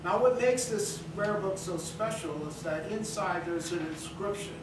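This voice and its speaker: A man presenting a staged book reading, speaking in halting, deliberate short phrases. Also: sits high, distant mic. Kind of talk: slow spoken introduction to a staged reading. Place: indoor theater, staged reading. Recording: echoey, noisy theater recording from off.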